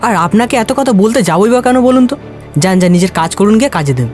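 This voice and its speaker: A man's voice: sits high, expressive, quick pace, darkish tone, soft background music. A young man voicing a brusque mourner in a cartoon, impatient and dismissive. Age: young adult. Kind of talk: animated cartoon voice acting.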